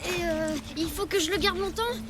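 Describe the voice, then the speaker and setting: High, youthful cartoon kid. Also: female voice, normal speed. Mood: earnest. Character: spirited, earnest young child in a prehistoric cartoon. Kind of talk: French animated series dubbing. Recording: music behind the voice.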